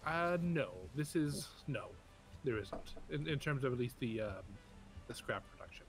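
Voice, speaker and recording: A man co-playing a game, explaining things casually with hesitant pauses and fillers. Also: medium pitch, animated, soft background music. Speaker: co-player. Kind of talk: casual gaming chat.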